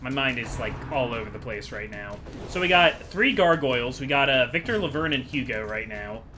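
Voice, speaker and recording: A man's voice: mid-range voice, animated, moderate pace, pushed and bright, faint music behind. A man giving casual, rambling commentary as the host of a gaming video, chatty and digressive as he thinks aloud.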